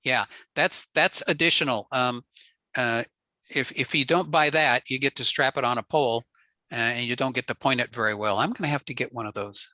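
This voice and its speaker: A man presenting ham radio networking on a video call, relaxed and answering questions with frequent fillers. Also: mid-range voice, a little fast, no room sound. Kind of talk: casual technical talk on a video call.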